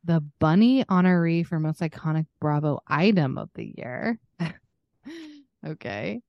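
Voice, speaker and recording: Lower-voiced woman in casual podcast chat. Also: lively intonation, a little slow, dry recording, lo-fi sound. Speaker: podcast co-host.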